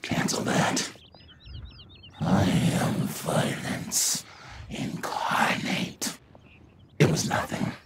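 An actor playing a sadistic, maniacal killer in a video game, with a raspy, breathy snarl and theatrical, gloating menace. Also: male voice, drawn-out words, long pauses, slight background noise. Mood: gloating, sinister. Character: sadistic, maniacal male killer who relishes violence. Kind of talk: video game character voice lines.

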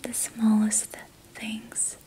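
A young woman whispering gently and reassuringly in a guided ASMR relaxation, leaving long pauses. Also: fairly high pitch, completely flat delivery, rough recording. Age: young adult. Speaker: ASMR host doing a healing roleplay. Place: small indoor room at night.

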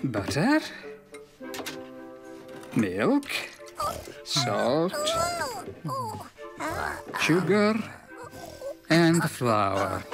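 Older cartoon farmer, slow delivery, varied intonation, muttering, patient, music behind. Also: male voice, a little high, heavily acted. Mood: puzzled, muttering. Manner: unhurried, muttering, sighing. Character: older farmer man, absent-minded, patient, mildly grumbly. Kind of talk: animated children's cartoon acting.

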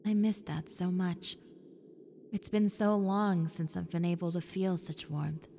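A woman voicing a friendly, needy young female ghost in an intimate roleplay, softly coaxing the listener with hesitant pauses. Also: on the low side, steady tone. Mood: coaxing, reassuring. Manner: soft, coaxing, hesitant pauses, sometimes near whisper. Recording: long reverb, clear background noise and ambience.

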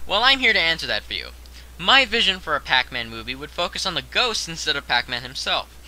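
A man's voice: a little high, very animated, a little fast, pushed and bright, low-quality recording. A young man narrating a scripted top-ten countdown as host, in a conversational way that addresses the viewer directly.

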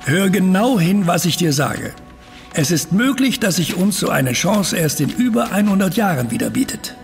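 A man's voice: sits high, very animated, moderate pace, vocal fry, heavily acted. A man playing an astronomer uncle in a German audio drama, intense and earnest. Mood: eager. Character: excitable uncle, amateur astronomer turning gravely resigned. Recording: music under the voice.

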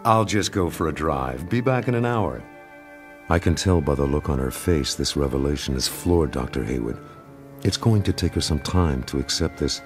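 A male actor plays a brooding masked crimefighter in a motion comic. The voice is low, terse and grave, held tightly in control over background music. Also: animated, normal speed. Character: stern, brooding male masked vigilante in adulthood. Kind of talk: motion comic dialogue and inner narration.

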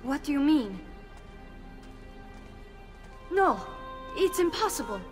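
High-voiced anxious young sister. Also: female voice, sing-song, normal speed, soft background music. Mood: disbelieving grief. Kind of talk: dramatic video game acting.

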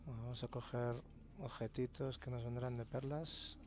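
A man's voice: medium pitch, fairly even intonation, moderate pace, a little mellow, a little hiss or hum. A man with a Spain Spanish accent, casually commenting on gameplay, swearing and muttering to himself.

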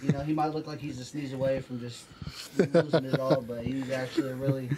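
A man's voice: mid-range voice, fairly even intonation, brisk, rough recording. A man in casual podcast banter, teasing his friends in slangy talk. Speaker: podcast panelist. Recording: loud group chatter and laughter behind.

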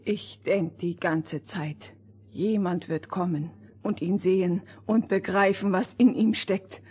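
Husky, fervent mother. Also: lowish voice, steady tone, slow pace, a little mellow, exaggerated voice. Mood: fervent, tearful. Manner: emotional, fervent. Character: devoted, anxious miller's wife and mother. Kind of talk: German fairy-tale audio drama acting.

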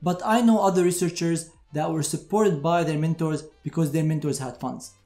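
A man's voice: on the high side, moderate pace, mellow, roomy sound. A man in his thirties giving a structured, explanatory talk to camera, like a doctor advising graduates. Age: 30s. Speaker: doctor presenting advice to medical graduates. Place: indoor room with shelves, seated. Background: music.

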